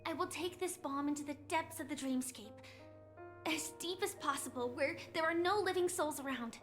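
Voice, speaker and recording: A woman playing a gentle, resolute young warrior in an anime game, speaking earnestly and solemnly in a measured way. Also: sits high, faint music behind.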